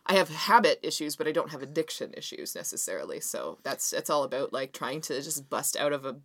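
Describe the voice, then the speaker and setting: Low-voiced woman, playful podcast co-host. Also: expressive, a little fast, no echo. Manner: playful, dark jokes, loose and swearing. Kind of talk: casual chat.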